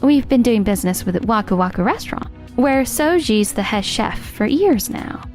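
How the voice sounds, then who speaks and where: A woman's voice: fairly high pitch, expressive, normal speed, darkish tone, soft background music, lightly acted. A woman playing a composed, loyal farmer's wife in a manga dub, speaking plainly.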